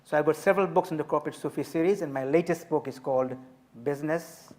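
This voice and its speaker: A man in his fifties, from Tanzania, telling his story as an author and speaker on a festival panel, measured and emphatic. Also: a little high, a little mellow. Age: 50s.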